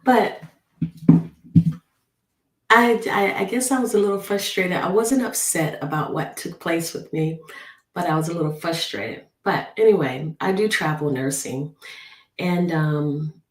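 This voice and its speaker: A hoarse woman, a travel nurse, telling a story in a rambling vlog, with many ums and restarts. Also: fairly low pitch, a little slow, long pauses. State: hoarse from a lingering flu cough. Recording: echoey room.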